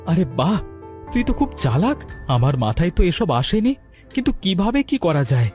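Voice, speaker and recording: A young man's somewhat high, mellow voice from a male actor plays an anxious, lovesick cartoon hero. He speaks earnestly and emotionally, as in a melodrama. Faint music sits underneath. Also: sing-song, moderate pace. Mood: elated. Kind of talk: dramatic cartoon voice acting.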